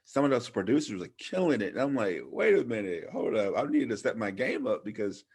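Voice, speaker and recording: A man's voice: on the low side, animated, brisk, a little mellow, husky. A man in his thirties from Louisiana hosting a casual interview in an informal, slangy, effusive way. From Louisiana, United States. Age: 30s. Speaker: podcast host, former DJ.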